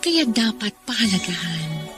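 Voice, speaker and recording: A woman's voice: mid-range voice, big pitch swings, a little slow. Woman reciting poetry over music. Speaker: poem reader. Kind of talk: poetic radio recitation. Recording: clear music bed behind.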